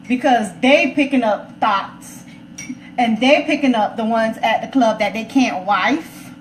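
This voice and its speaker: A woman's voice: fairly high pitch, a little slow. An American woman giving her opinions in casual chat, assertively, restating points for emphasis. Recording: roomy echo, faint background noise.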